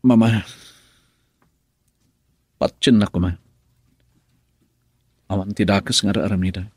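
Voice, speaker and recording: Napakumbaba nga asawa a lalaki, namaga ti timekna. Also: male voice, lowish voice, fairly even intonation, slow pace, darkish tone, dry recording, clean studio sound. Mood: pleading. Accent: Ilocano. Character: injured husband pleading earnestly with his mother. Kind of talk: Ilocano radio drama acting.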